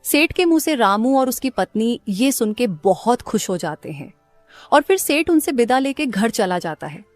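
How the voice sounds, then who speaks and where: A woman's voice: fairly high pitch, big pitch swings, normal speed, faint music behind. A woman reading scripted narration as the narrator of a story.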